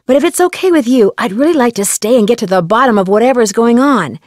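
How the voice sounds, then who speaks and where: A plucky young female sleuth from an adventure game, acted by a woman. Her voice is slightly high and dry, with a calm, caring and polite delivery. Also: fast talker, no room sound, close to an everyday voice. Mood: calm, concerned. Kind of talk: video game dialogue.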